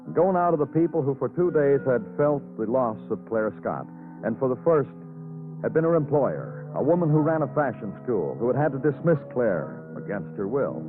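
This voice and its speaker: An actor playing a moody hard-boiled police detective, narrating in clipped, poetic phrases in 1950s radio crime drama style. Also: male voice, mid-range voice, big pitch swings, normal speed, natural voice. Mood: brooding, lyrical. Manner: brooding, terse, lyrical phrasing. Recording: tinny old radio broadcast with music.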